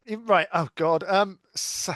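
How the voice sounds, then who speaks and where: Higher-voiced British man, middle-aged. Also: unhurried, fairly bright tone, dry recording, studio quality. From United Kingdom. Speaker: podcast guest. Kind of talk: casual chat.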